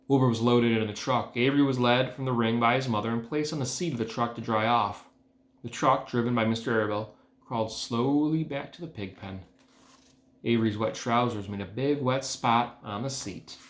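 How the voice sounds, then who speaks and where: A man reading a children's book aloud as host, with expressive, emphatic phrasing. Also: medium pitch. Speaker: read-aloud host, educator. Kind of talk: storybook read-aloud narration. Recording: echoey room.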